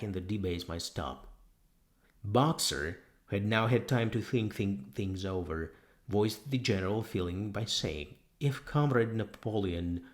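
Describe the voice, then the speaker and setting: A man narrating a novel as an audiobook, reading scripted prose in a dramatic storytelling style. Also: sits low.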